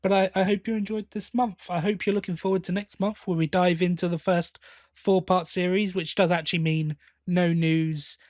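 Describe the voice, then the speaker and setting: Higher-voiced man chatting on a podcast. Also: steady tone, moderate pace, darkish tone, no room sound, studio quality. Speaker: podcast co-host. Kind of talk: casual review chat.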